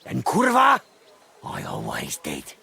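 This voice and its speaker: An actor playing a middle-aged, washed-up drunken master craftsman in a video game, halting, grumbling and self-pitying, with a gravelly, husky voice. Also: very deep voice, wildly swooping pitch, bright, forceful tone, full character voice. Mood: hesitant, rallying. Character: ashamed washed-up drunken old master clockmaker, grumbling and reluctant. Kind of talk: video game drama acting.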